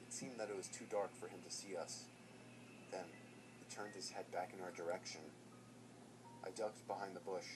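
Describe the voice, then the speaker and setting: Man, lowish voice, measured horror storytelling, steady hiss in the recording. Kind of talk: scripted first-person horror narration.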